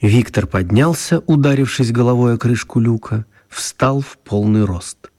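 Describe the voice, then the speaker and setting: An actor playing a calm storyteller, narrating a children's radio play in a scripted story style. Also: male voice, mid-range voice, unhurried. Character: calm male storyteller reading a manuscript.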